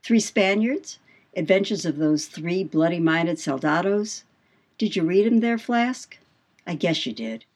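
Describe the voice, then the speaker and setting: A woman's voice: lowish voice, expressive, a little slow. A woman playing a brash, boastful male sailor in an audiobook, with salty, swaggering speech and emphatic questions. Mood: scornful, insistent. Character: brash, boastful male whaling mate telling devil yarns. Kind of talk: dramatised audiobook dialogue.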